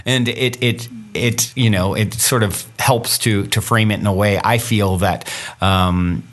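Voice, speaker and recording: A man's voice: on the low side, steady tone, unhurried, fairly bright tone. A man hosting a spiritual podcast, musing aloud and filling his sentences with "you know". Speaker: podcast host. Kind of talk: reflective spiritual conversation.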